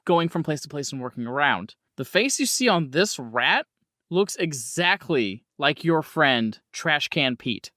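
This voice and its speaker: Guy running a horror rpg, highish voice that swings around a lot, close dry sound. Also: very animated, a little slow, bright, forceful tone, no echo. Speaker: game master. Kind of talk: running a horror tabletop roleplaying game.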